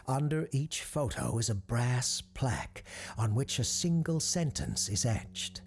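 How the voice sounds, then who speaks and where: A man acting as the grave, measured narrator of an eerie audio drama about a haunted attraction. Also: medium pitch, expressive, lightly acted. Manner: measured, deliberate, storytelling.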